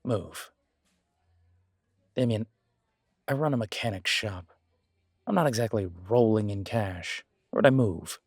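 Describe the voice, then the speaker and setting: Man acting a husky, wary, sardonic mechanic. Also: on the low side, expressive, a little slow, pauses often, no echo, clean studio sound. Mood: wry, defensive. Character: wary, sardonic male werewolf mechanic, blunt but polite. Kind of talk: audio roleplay drama dialogue.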